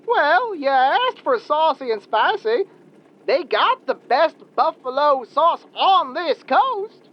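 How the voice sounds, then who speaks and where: A woman playing a brash, cheerful, food-loving caller, drawn-out and enthusiastic, in an audio roleplay. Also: high-pitched, very animated, full character voice. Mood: hearty, enthusiastic. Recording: dull phone-in caller line.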